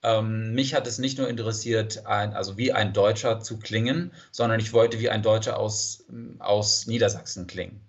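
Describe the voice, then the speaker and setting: A man's voice: lowish voice, fairly even intonation, normal speed, hardly any pauses. A man, an English speaker, answering interview questions with many fillers and restarts. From United States. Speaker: interview guest, language teacher. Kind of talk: casual interview conversation. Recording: dull lo-fi sound.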